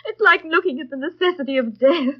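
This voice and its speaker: A woman's voice: high-pitched, quick pace, close to an everyday voice. An actress in a 1930s radio drama playing an earnest, passionate young governess in love with her master, speaking in a formal, literary way. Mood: anguished, passionate. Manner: literary, formal, heartfelt delivery. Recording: dull lo-fi 1930s radio recording.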